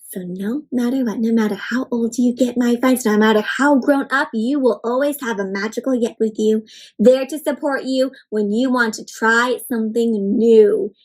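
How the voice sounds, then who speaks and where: A young woman hosting an interactive read-aloud for children, talking to them in an animated, encouraging way. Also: on the high side, moderate pace, low-quality recording. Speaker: children's storybook reader and host.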